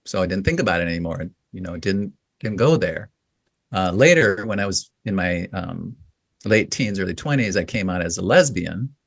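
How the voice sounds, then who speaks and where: A man in his fifties, a guest in an interview, talking reflectively with a deep voice, often pausing and restarting with "you know". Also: no echo. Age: 50s. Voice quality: deep, gravelly voice. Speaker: guest, writing coach.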